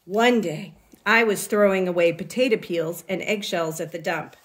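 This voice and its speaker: A woman reading a children's story aloud expressively, in a deliberate way with dramatic pauses. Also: fairly low pitch. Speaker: picture book reader.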